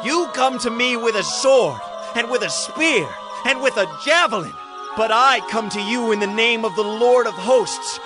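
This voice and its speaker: An actor playing a bold, devout young shepherd in a dramatized audio Bible, with an earnest, declamatory delivery. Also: male voice, very high-pitched, animated, normal speed, music in the background. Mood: defiant, proclaiming.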